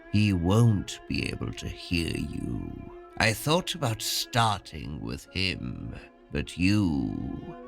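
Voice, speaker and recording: A sadistic male killer in a horror audio drama, speaking very slowly with a gravelly edge. He taunts his captives with swooping, unhurried menace, over faint music. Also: deep voice, fairly bright tone, heavily acted. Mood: calm menace. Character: sadistic male serial killer who collects victims as art.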